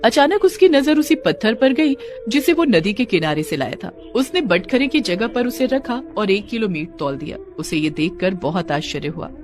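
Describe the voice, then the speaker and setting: A woman narrating a cartoon story in an expressive storytelling manner. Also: medium pitch, moderate pace. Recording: background music under voice.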